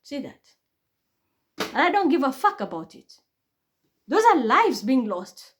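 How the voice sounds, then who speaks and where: A woman's voice: high-pitched, sing-song, measured pace. A woman with a Kenyan accent giving a blunt, heated monologue, swearing freely and building to outbursts. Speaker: online commentator. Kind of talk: impassioned monologue.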